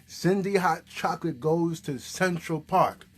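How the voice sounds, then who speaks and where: A man presenting a children's puppet show, playfully coaxing with questions and hesitant pauses. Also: on the high side.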